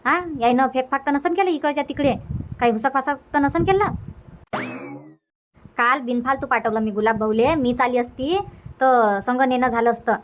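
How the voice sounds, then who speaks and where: A woman's voice: high-pitched, normal speed, long pauses, slight background noise, close to an everyday voice, low-quality recording. A woman voicing a flustered, complaining young village sister in a cartoon comedy, with colloquial Vidarbha Marathi. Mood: fretful.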